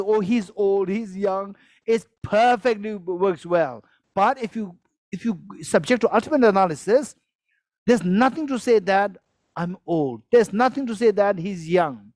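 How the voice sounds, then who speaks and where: A man's voice: high-pitched, darkish tone, dry recording. Chuckling man teaching Buddhist philosophy. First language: Tibetan. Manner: repetitive, questions the audience, chuckles while explaining. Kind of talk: dharma teaching to a live audience. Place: teaching hall with a live audience.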